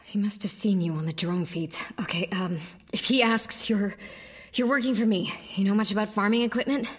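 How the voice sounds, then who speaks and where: A woman acting as a hard-edged, suspicious farmer. Her husky voice is mid-pitched, curt and commanding, in audio drama style. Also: moderate pace, natural voice. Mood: urgent.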